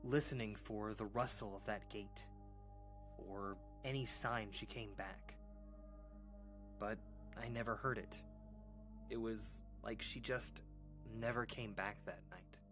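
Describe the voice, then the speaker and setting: A voice actor playing a rattled person recounting a frightening childhood memory in a halting, conversational way, with stammers and dramatic pauses. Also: mid-range voice, fairly even intonation, lightly acted. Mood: hushed, uneasy. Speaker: storyteller. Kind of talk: audio drama monologue, conversational horror storytelling. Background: music.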